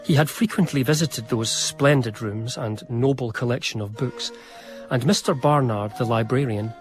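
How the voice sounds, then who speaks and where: An admiring gentleman biographer voiced by a male actor tells his story in a period radio drama. His delivery is formal, precise and literary, at a middling male pitch with faint music underneath. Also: close to an everyday voice. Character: admiring gentleman biographer recounting his great friend's life.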